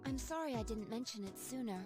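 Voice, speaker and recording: A woman playing a gentle, earnest android girl in a video game, speaking politely and with measured hesitation. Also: a little high, animated, music in the background, natural voice. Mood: gently apologetic. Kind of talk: scripted video game cutscene acting.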